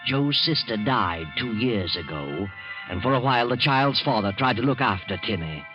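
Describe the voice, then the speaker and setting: An actor playing a mysterious narrator who walks by night, telling a tale slowly and ominously in a 1940s radio drama. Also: male voice, mid-range voice, expressive, close to an everyday voice. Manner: slow, ominous storyteller. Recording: dull old radio broadcast with music.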